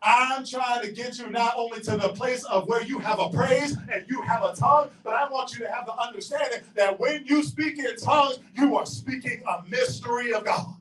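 A middle-aged Southern US pastor delivers a sermon, his high voice jumping from ringing shouts to hushed asides as he paces the stage. He speaks into a handheld mic in a large auditorium, heard from far back with a roomy echo. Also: normal speed, few pauses. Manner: emphatic preaching, swings between shouts and low asides; paces and gestures across the stage while preaching. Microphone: handheld mic, filmed from far back. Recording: echoey hall from far off.

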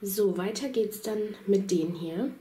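A woman's voice: mid-range voice, a little slow, dark tone, slight background noise. A chatty woman in her thirties, a little under the weather, talks straight to her viewers. She walks through her home with a handheld phone camera, keeping an easy, enthusiastic tone. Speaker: vlogger. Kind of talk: casual vlog walkthrough. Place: home, indoors.

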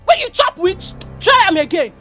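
A woman's voice: very high voice, very animated, normal speed, over music. An actress playing a fiery young woman with a Nigerian accent who hurls scornful, Pidgin-laced insults. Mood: angry. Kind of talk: Nollywood-style drama acting.

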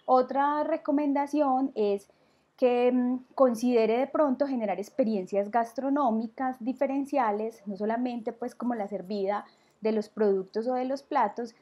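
A young woman giving tourism marketing advice on a video call. She speaks in a measured, explanatory way with many filler sounds, through a webcam and earphone mic in a small room. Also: fairly high pitch, highs cut off. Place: small room indoors at home.

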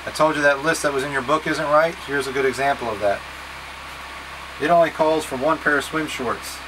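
A man's voice: fairly high pitch, a little fast. Plain-spoken man instructing over background noise. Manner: plain-spoken, step-by-step, casually directive. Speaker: scout trip leader. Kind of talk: instructional packing talk to camera. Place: small wood-panelled boat cabin, indoors. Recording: steady background hum and noise.

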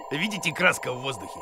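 High, creaky, goofy cartoon teacher. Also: male voice, pushed and bright, full character voice. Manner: blustering, cocksure, exclaims in alarm. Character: goofy know-nothing bearded cartoon teacher, bossy and excitable. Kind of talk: children's cartoon voice acting.

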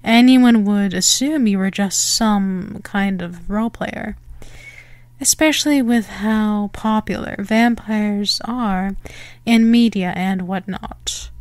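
A woman's voice: mid-range voice, a little mellow, no room sound, natural voice. A woman playing a smug, predatory female vampire in an ASMR roleplay, teasing and condescending as she draws out her words. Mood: coy, probing. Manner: mocking, condescending, drawn-out delivery. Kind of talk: slow ASMR roleplay monologue.

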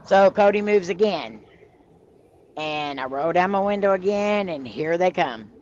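A woman hosting a live stream over a video call, telling stories in a chatty, animated way and laughing as she goes. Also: lowish voice, measured pace, slight background noise. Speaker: host of a paranormal live stream. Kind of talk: casual storytelling chat. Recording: slightly muffled video-call audio.

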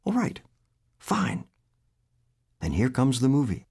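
Man with a lively, mellow reading voice. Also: mid-range voice, slow, vocal fry, breathy edge. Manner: expressive, animated storytelling. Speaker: author. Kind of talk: reading his own short story aloud.

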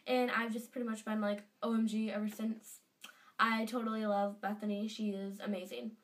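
A woman's voice: fairly high pitch, little pitch movement, moderate pace, far from the mic. A teenage girl with a stuffy nose from a cold, gushing in a casual fan vlog from her bedroom. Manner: chatty and gushing, lots of fillers. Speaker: vlogger. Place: bedroom indoors. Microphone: webcam at desk distance.

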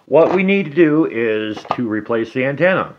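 A middle-aged man giving a casual hands-on repair tutorial at a table indoors, talking slowly and haltingly, half to himself as he works. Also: medium pitch, animated, highs cut off, background noise. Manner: slow, halting, talks to himself while working. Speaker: DIY hobbyist demonstrating a repair.

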